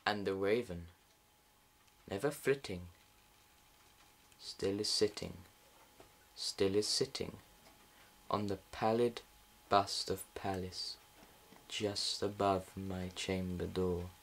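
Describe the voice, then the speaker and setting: Slow-paced man solemnly reciting gothic verse. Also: sits low, fairly even intonation, creaky, poor audio. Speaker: amateur poem reader. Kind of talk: dramatic gothic poetry recital.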